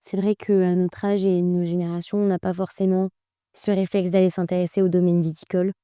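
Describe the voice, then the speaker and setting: A young woman hosts a casual podcast chat and asks her guests questions. Her voice is smooth and mellow. The recording is dry and close. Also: fairly low pitch, level tone, fairly quick, no echo. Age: young adult. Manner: friendly, asks questions, uses fillers. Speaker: host.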